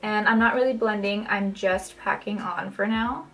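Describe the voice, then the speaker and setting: Young woman, raspy gravelly voice from a dry throat, chatty tutorial talk, soft music behind. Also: medium pitch, fairly even intonation, normal speed. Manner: chatty, many "like" fillers, shifts pace often. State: dry throat, maybe catching a cold. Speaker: makeup tutorial host. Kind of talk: casual step-by-step tutorial talk. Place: home, in front of a mirror.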